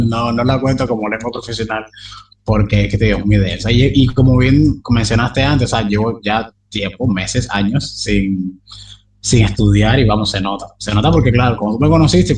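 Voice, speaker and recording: A man's voice: mid-range voice, recorded from a distance, slight background noise. A young man talking casually as an interview guest, rambling with many fillers and restarts. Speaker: polyglot interviewee.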